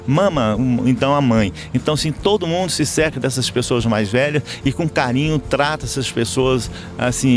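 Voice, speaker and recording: A Brazilian man with a Brazilian Portuguese accent, an interview guest speaking reflectively, with restarts and fillers. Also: mid-range voice. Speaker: election observer guest. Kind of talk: conversational interview.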